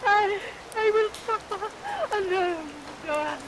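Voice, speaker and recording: A woman's voice: very high-pitched, takes it slowly. An actress playing a pious, middle-aged martyr of a mother in vintage radio melodrama, fussy and long-suffering. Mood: self-pitying. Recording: vintage radio recording under rain noise.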